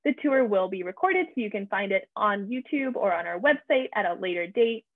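A woman's voice: mid-range voice, animated, brisk, no echo. A young woman hosting a virtual campus tour, introducing the session in an upbeat, emphatic way over a video call. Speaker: tour host. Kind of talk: scripted event introduction. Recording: tinny phone-like video call audio.

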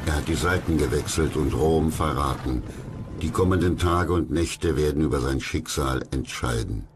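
A man narrating a documentary from a script, dramatic, solemn and measured. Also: rumbling low, noisy background.

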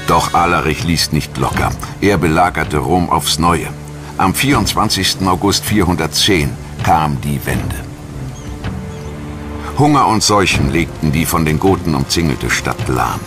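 Male documentary narrator, extremely low voice, scripted delivery, music underneath. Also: expressive, unhurried. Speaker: documentary narrator and voice-over translator.

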